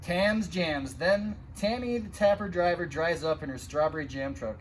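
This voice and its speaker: Male storytime reader, slightly high voice, expressive and child-directed, some background noise. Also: normal speed, low-quality recording. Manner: expressive, addressing young listeners with asides and sound effects. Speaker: firefighter reading a children's picture book aloud. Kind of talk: animated storytime read-aloud.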